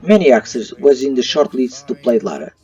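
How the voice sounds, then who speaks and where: Husky man reviewing movies, mellow and animated. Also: mid-range voice, a little fast. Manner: non-native English phrasing. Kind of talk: opinion review voice-over. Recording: muffled, slightly distant mic. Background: faint music.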